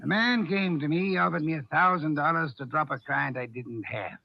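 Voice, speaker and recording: An actor playing a pompous, gruff middle-aged detective in a 1950s radio mystery, with formal, pedantic diction. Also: male voice, fairly high pitch, moderate pace. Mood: smug, lecturing. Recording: dull vintage radio recording.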